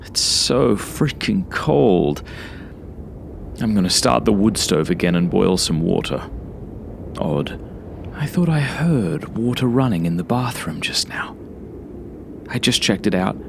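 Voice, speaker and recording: A man narrating a first-person horror story like a journal, brooding and sometimes hushed, in short halting phrases. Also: fairly low pitch, animated, vocal fry, noisy background. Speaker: author reading own horror story.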